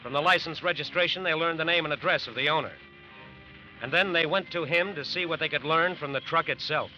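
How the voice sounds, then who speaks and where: An actor as the earnest, dramatic narrator of an old radio crime drama, emphatic and deliberate. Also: male voice, a little high, pushed and bright, lo-fi sound, faint music behind. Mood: urgent, factual. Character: earnest, dramatic male narrator of FBI manhunt. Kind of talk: scripted narration, 1940s-50s radio drama.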